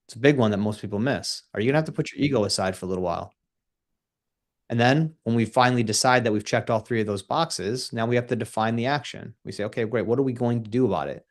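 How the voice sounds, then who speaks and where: A man's voice: mid-range voice, quick pace. A man in his thirties guesting on a radio show as a coach, speaking with an emphatic coaching cadence and a casual, easygoing manner. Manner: laughs easily, casual. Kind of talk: radio interview.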